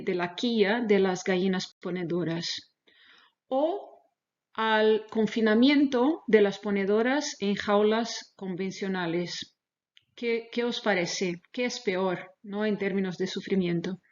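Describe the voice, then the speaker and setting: A woman researcher gives an online lecture in Spanish, which is not her native language. Her pitch is mid-range for a woman and her phrasing is careful and a little halting. The webinar audio is slightly dull and lo-fi. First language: not Spanish, speaks Spanish as a second language. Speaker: animal welfare researcher, webinar presenter.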